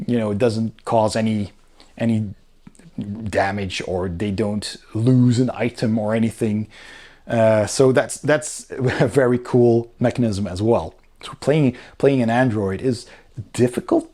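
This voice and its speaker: A man's voice: mid-range voice, mellow. Man giving a casual rulebook review. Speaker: board game reviewer.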